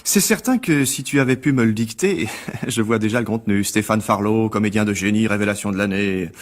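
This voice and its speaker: A man acting out a bitter male newspaper critic in a radio drama. His voice sits fairly low. He needles with sarcasm and false cheer, like a failed actor settling scores. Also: fairly quick, lightly acted. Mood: mocking.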